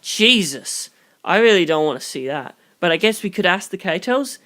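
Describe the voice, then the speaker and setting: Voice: medium pitch, lively intonation, normal speed, a bit bright, natural voice. A reader voicing a blunt, squeamish young man in an audiobook, casual and exclamatory in manner. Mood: uneasy, exasperated.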